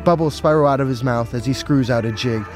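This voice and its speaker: A man's voice: mid-range voice, quick pace, over music. A man narrating a scripted story dramatically as a storyteller.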